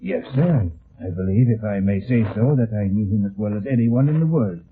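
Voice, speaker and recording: Male actor as a deferential servant, stiffly formal, lively pitch movement, hesitant, muffled phone-like vintage radio drama. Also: fairly quick, a little hiss or hum, close to an everyday voice. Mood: respectful. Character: deferential, formal, loyal longtime manservant. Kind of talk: old-time radio detective drama acting.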